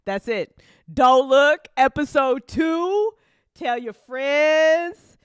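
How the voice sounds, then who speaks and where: A woman's voice: high voice, drawn-out words, no room sound, studio quality. A woman hosting a casual live chat as a show creator, talking in a rambling, giggly, informal way.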